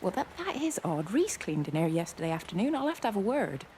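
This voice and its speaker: A woman's voice: medium pitch, big pitch swings, fast talker, dark tone, a little hiss or hum, natural voice. An actress playing a stubborn, caring, down-to-earth village woman in a naturalistic video game drama. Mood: puzzled, concerned.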